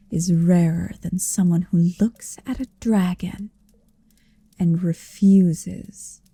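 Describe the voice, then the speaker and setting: A possessive, motherly she-dragon, acted by a woman speaks in a very dark, lowish, breathy murmur that slips into whispers. She lingers over each phrase with extreme slowness, teasing and dominant, tender with quiet menace. It is an intimate ASMR roleplay, close on the microphone. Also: dry recording, heavily acted. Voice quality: soft, breathy. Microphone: close microphone, dry.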